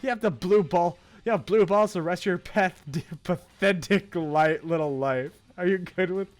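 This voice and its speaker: A man's voice: high voice, unhurried, voice pushed up. A man voicing a bossy, brash young female workout buddy from a visual novel, scolding and emphatic. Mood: taunting. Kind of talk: visual novel character read-aloud. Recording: game music behind the voice.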